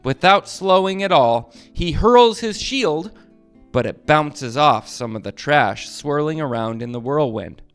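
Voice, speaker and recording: A man reads a children's book aloud. His voice is a little high and swings widely with dramatic, expressive emphasis. Soft music plays underneath. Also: a little slow, smooth. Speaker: storybook narrator. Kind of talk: animated children's read-aloud narration.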